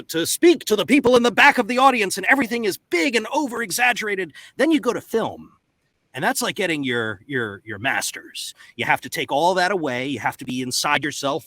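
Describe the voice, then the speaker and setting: A man's voice: fairly high pitch, bright, forceful tone, no room sound. A man explaining the craft as a voice actor and coach on a panel, emphatic, into a close studio mic. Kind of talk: panel discussion. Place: home recording booth. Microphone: close studio microphone.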